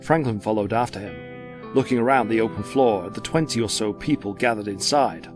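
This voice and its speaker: A man narrating a dramatic story from a script in a measured, descriptive way. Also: on the low side, music in the background.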